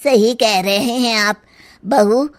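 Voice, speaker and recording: A woman voicing a fussy, easily alarmed older mother-in-law in an animated story, exclaiming and scolding. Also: a little high, slow, dry recording. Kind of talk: animated Hindi moral story acting.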